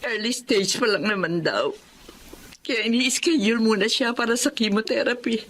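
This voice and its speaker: A woman's voice: a little high, normal speed, fairly bright tone, slight background noise, natural voice. An actress playing a grieving, anxious mother, speaking tearfully over a music bed. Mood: worried. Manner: tearful, pleading. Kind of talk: Filipino radio-style drama.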